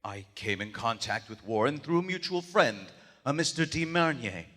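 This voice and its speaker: A shaken, haunted man of letters as a male actor plays him speaks in ornate, old-fashioned, dramatic phrases. His medium-pitched voice rings through a large theater hall in a live radio horror play. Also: lively intonation, moderate pace, a bit bright, close to an everyday voice. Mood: haunted, grave. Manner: formal, archaic, dramatic diction. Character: haunted, shaken writer of weird fiction, a man.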